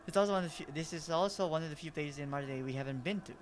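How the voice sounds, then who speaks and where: A man's voice: on the high side, very fast, background noise. A young man from the Philippines with a Filipino accent, streaming a game and chatting in a rambling, self-deprecating way. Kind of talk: casual game-stream chat.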